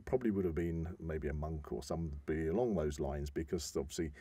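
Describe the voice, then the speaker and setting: A man's voice: extremely low pitch, fairly quick, warm tone, husky, no echo. A man co-hosting a podcast, telling and explaining an old story informally with rambling enthusiasm. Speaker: podcast co-host explaining a legend.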